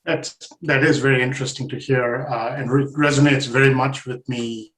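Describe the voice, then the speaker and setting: A man from India, an editor interviewing a guest, asking polite questions with hesitant pauses. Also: medium pitch, steady tone, a bit bright, echoey room, low-quality recording.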